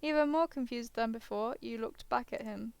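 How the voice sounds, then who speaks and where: A woman's voice: a little high, normal speed, gravelly, clear, resonant tone, dry recording. A woman gaming streamer reading a visual novel aloud, casually breaking off into hesitant asides and sounding tired. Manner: hesitant, self-interrupting asides. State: tired, yawning.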